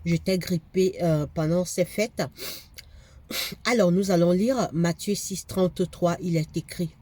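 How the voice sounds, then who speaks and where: A woman's voice: lowish voice, fairly even intonation, unhurried, mellow. A woman with a cold preaching a fervent sermon, repeating phrases for emphasis. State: has a cold, congested.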